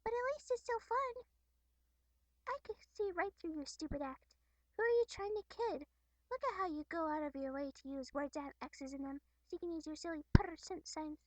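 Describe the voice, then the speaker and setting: A woman voicing a playful, excitable, catlike teenage troll girl with a childlike, teasing manner. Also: very high voice, no room sound, pitched above the performer's own voice, low-quality recording.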